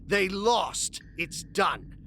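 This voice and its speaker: A man's voice: high voice, lively intonation, very slow, creaky, bright, forceful tone, slight background noise, heavily acted. A man playing an arrogant, ruthless vampire councilman in an audio drama, contemptuous, combative and profane. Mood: scornful. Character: arrogant, ruthless male vampire councilman who despises humans.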